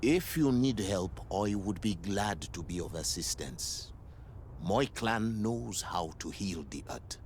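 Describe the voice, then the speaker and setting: An actor playing a courteous, perceptive native clansman in a game, with formal, measured, poetic phrasing. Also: fairly low pitch, expressive, a little hiss or hum. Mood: calm, caring. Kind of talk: game cutscene acting.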